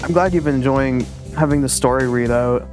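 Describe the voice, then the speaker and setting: Chatty male streamer, soft voice. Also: mid-range voice, fairly quick, faint music behind. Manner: chatty, self-deprecating, rambling. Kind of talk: casual live-stream commentary.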